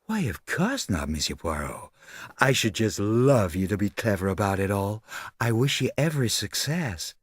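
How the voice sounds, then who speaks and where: A man voicing a vain, self-absorbed glamorous actress in an audiobook, with a husky, breathy, gushing drawl. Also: lowish voice, very animated, moderate pace, dry recording, clean studio sound. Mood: careless. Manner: gushing, childlike, languid drawl.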